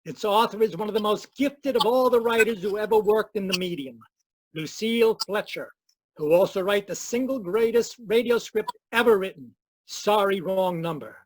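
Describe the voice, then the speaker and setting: A man's voice: high voice, normal speed, no echo, low-quality recording. A man hosting an old-time radio mystery show with theatrical, formal scripted announcing. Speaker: host and announcer.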